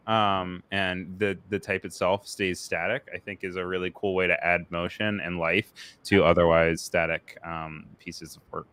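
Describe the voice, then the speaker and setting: A man's voice: low-pitched, fairly bright tone, smooth, no room sound. An American man hosting a live-streamed talk show, asking questions in an easygoing way with many fillers. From United States.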